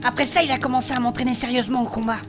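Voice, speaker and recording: A woman playing a spirited female blacksmith adventurer, recounting her past in animated, colloquial in a fantasy audio drama. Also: fairly high pitch, rapid-fire, music in the background, natural voice. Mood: lively storytelling. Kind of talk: French fantasy audio fiction acting.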